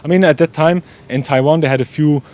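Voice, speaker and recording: A man's voice: fairly high pitch, steady tone, fast talker, darkish tone. A young man from Belgium, an eager race director chatting as an interview guest. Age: young adult. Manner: eager, repeats "yeah" often, loose non-native grammar. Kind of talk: casual interview chat.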